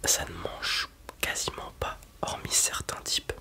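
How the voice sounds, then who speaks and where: Brief: whispered ASMR roleplay voice, any sex, breathy and soft, hesitant explainer playing a dragon breeder. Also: unhurried.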